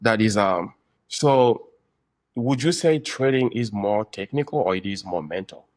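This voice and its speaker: Low-voiced man hosting, halting delivery. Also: animated, dry recording. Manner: halting, deliberate phrasing. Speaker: podcast host, trader. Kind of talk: casual interview chat.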